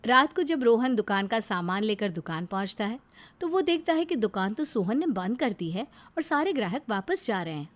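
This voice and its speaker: A woman's voice: a little high, sing-song, normal speed. A woman narrating a scripted family story as a storyteller.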